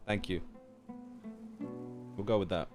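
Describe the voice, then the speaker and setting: A man's voice: sits low, fairly even intonation, slow, a little mellow. A man live-streaming a game with casual, slangy reactions and jokey asides. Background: faint game music.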